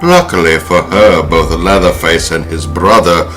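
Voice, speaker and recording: A man's voice: deep voice, measured pace, a bit bright, soft background music. A man hosting a horror film podcast, speaking in a wry, sardonic way. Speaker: podcast host. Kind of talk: horror film recap and casual discussion.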